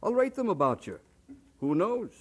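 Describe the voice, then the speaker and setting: An actor playing a kindly, helpful older brother with a husky voice in an old-time radio drama. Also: male voice, sits high, very animated, normal speed. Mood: warm, helpful.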